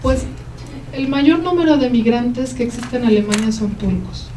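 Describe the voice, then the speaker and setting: A woman with a Mexican Spanish accent giving a university lecture, explaining at length and pausing often with "eh" and "em" as she answers questions. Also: fairly high pitch, animated, warm tone. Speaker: guest lecturer. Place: lecture hall. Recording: roomy hall, mic at a distance, faint noise.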